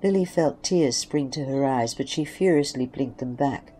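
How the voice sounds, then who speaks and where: Mid-pitched male narrator, faint noise behind. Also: normal speed, dark tone. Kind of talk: scripted story narration.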